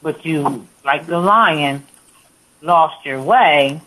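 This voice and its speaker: An American woman calling in to a casual discussion, thinking aloud and pausing to find her words. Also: sits low, fairly bright tone, poor audio. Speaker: call-in participant.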